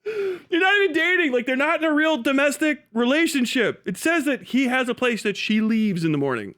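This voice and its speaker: High-pitched, bright, animated man ranting. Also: brisk. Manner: incredulous, foul-mouthed, animated; acts out mocking imagined dialogue. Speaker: livestream host and commentator. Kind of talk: casual reaction commentary, reading an article aloud and riffing.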